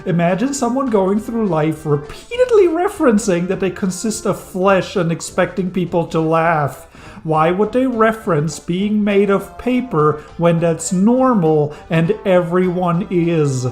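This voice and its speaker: A man's voice: sits high, animated, measured pace, a little mellow, soft background music. Wry enthusiastic non-native man reviewer. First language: not English. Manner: wry, enthusiastic, dry jokes. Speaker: game reviewer. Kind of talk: scripted video-essay narration.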